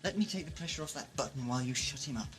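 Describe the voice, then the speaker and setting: A man's voice: medium pitch, lively intonation, very fast, fairly bright tone, lightly acted. Man playing an urgent, blunt engineer henchman. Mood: persuasive. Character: urgent, pleading male engineer henchman of a lord. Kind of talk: amateur sci-fi audio drama acting.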